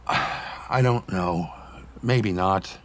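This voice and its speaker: A male actor playing a bewildered, questioning man in a motion comic, with a husky voice and an incredulous, halting delivery. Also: deep voice, fairly bright tone, full character voice. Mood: resigned. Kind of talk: scripted cartoon dialogue.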